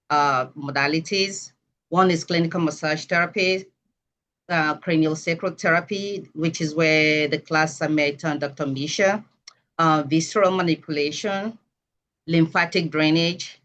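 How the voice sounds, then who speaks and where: A woman from Nigeria with a Nigerian accent, giving a measured, explanatory talk as a massage therapist over a video call. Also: sits low. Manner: measured, explanatory, self-correcting.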